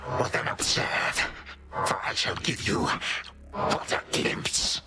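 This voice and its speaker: Man playing a slow, imperious old god villain. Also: very noisy, lightly acted. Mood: cold, menacing. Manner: slow, grandiose, archaic phrasing, sneering condescension. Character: imperious, menacing old male Titan god of time. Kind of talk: dramatic video game villain acting.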